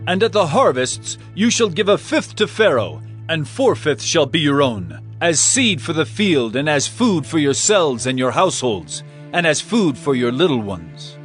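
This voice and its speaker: Commanding male governor, lively intonation, fairly high voice, biblical radio drama. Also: normal speed, faint music behind. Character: authoritative male governor of ancient Egypt. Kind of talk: audio drama Bible acting.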